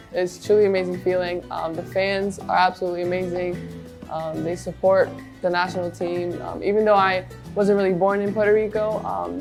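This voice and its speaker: Young woman athlete, flat and lowish. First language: Spanish. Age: young adult. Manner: many ums, heartfelt. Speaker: basketball player. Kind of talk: interview talk.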